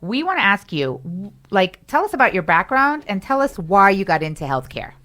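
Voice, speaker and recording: A woman's voice: mid-range voice, very animated, moderate pace, sharp tone. A woman hosting a radio talk show, chatty and effusive as she welcomes guests. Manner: chatty and effusive, lots of "you know". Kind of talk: casual radio interview. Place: radio studio.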